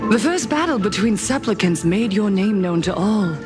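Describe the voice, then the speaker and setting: An actress playing a melancholic, mysterious game character who savors others' desires, speaking in a deliberate, theatrical manner. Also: female voice, medium pitch, lively intonation, close to an everyday voice. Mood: serene, eerie. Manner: slow, deliberate, theatrical delivery. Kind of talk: scripted game character acting. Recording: loud game music behind the voice. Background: music.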